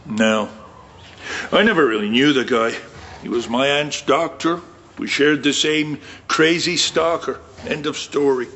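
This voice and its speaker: A man's voice: mid-range voice, measured pace, sharp tone. An actor with a Scottish accent playing a bitter, wary man in a naturalistic radio drama. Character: bitter, wary man discussing his violent war-damaged cousin. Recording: muffled, with clear background noise.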